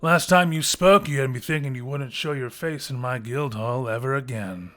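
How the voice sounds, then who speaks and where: A man's voice: mid-range voice, moderate pace, a bit bright, lightly acted. A man playing a smug, scheming adventurers' guildmaster in a fantasy audio drama, theatrical and taunting with mock courtesy. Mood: smug, amused.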